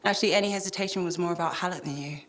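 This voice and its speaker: A woman's voice: fairly low pitch, racing, lightly acted. An actress playing a thoughtful, self-doubting woman who talks in a rambling, wistful way in a game cutscene. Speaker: cypher ally. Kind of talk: video game cutscene acting.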